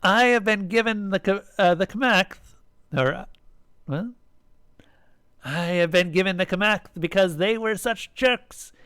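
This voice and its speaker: A man's voice: high voice, a little slow, pauses often, fairly bright tone, smooth, no echo, studio quality, voice pushed up. A man voicing a girl in a children's pageant who plays a loving mother goddess, proclaiming grandly and sweetly in a story. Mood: solemn, proclaiming.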